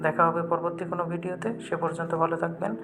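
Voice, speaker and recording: A man's voice: fairly high pitch, level tone, rapid-fire. A man with a Bangladeshi accent hosting a tech tutorial, explaining an app step by step in an instructional way. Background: background music.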